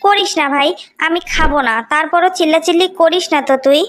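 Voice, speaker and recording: A woman voicing a gentle, self-effacing sister in a cartoon story, pleading for peace with a Bangladeshi accent. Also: very high-pitched, fairly even intonation, fairly quick, slight background noise. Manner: pleading, conciliatory. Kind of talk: amateur cartoon story dubbing.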